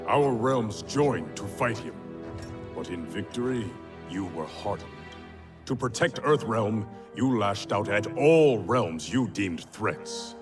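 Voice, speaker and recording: An actor playing a proud, stern warrior emperor in a video game, formal and grave. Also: male voice, on the low side, expressive, slow, far from the mic. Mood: grave, wary. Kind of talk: video game cutscene acting. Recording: cinematic music behind.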